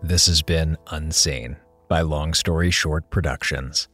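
Deep-voiced man over music. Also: fairly even intonation, measured pace. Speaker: podcast producer. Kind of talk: scripted credits and listener address.

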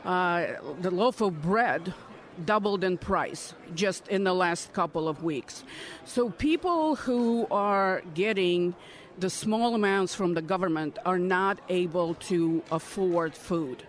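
Woman, fifties, earnest, measured, interview answers. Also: lowish voice. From Ukraine. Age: 50s. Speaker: congressional candidate. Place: political conference.

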